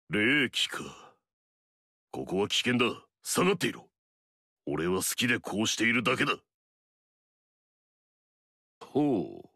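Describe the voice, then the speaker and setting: A man's voice: lowish voice, vocal fry, pushed and bright, no echo, clean studio sound, full character voice. An actor playing an arrogant, fierce male warlord in a video game, swaggering and barking battle cries.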